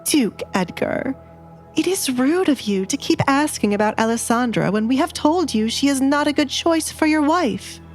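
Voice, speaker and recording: A woman's voice: high voice, expressive, moderate pace, pitched above the performer's own voice. An indignant noblewoman in a romantic audio drama, acted by a woman. Her tone is lifted and tightly controlled, polite yet disapproving, over faint music. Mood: controlled indignation. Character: indignant noblewoman mother, outwardly calm, inwardly fuming.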